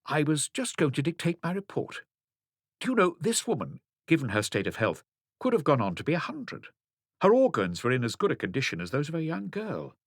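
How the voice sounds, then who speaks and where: A man's voice: a little high, wildly swooping pitch, fairly quick, dry recording, clean studio sound, pitched above the performer's own voice, exaggerated voice. A man voicing a matter-of-fact pathologist in an audiobook, explaining findings in a clinical yet engaged way. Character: matter-of-fact male pathologist giving post-mortem findings. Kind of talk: audiobook character dialogue.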